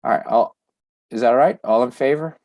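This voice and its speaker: A man chairing a council meeting, halting with many fillers and restarts, losing his voice. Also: mid-range voice, no echo, dull audio. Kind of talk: virtual public meeting.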